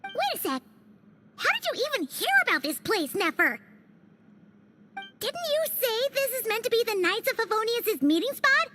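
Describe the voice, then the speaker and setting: An actress voicing an excitable young female tinkerer in a video game, talking in a lively, expressive way. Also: very high voice, moderate pace, long pauses, very noisy. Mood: excited.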